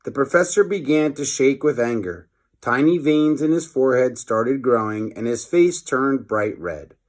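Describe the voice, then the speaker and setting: A man's voice: mid-range voice, expressive, moderate pace. Man reading a children's storybook aloud. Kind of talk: expressive children's storybook narration.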